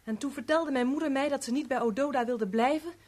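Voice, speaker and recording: A woman's voice: high-pitched, fast talker, a little mellow, lightly acted. An actress playing a reserved, guarded young woman who speaks tersely in a 1970s radio drama. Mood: reluctant, subdued. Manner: terse, reticent answers.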